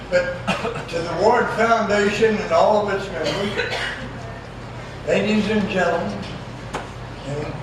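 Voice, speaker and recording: An older man with a high voice gives a slow, folksy, self-deprecating acceptance speech. He pauses often, and the banquet room around him is very echoey. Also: distant mic, noisy background. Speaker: award recipient giving acceptance speech. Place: large banquet room over microphone.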